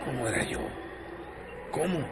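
A man's voice: medium pitch, wildly swooping pitch, unhurried, creaky, noisy background, heavily acted. An actor playing Eleazar, a brooding, restless man who asks insistent questions in a radio drama. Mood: puzzled.

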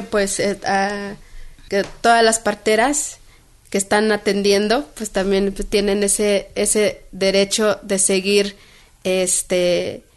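A woman midwife relays what a colleague has said, speaking in a very flat, level tone. She hesitates constantly, reaching for fillers and restarting her phrases. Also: mid-range voice. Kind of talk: interview answer.

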